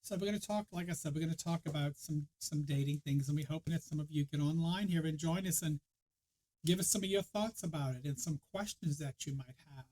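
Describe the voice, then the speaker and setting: Man, podcast host, hoarse and fading voice, slightly high, chatty and rambling. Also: a little fast, darkish tone, poor audio. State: hoarse, losing his voice. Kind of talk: casual spiritual podcast chat.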